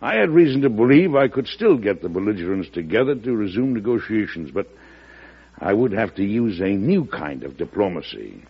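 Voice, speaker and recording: An actor with an American accent playing a shrewd, genial, avuncular president in an old-time radio drama, in a deliberate storytelling manner. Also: male voice, lowish voice, big pitch swings, slightly rough, exaggerated voice. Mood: measured. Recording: dull lo-fi vintage radio broadcast.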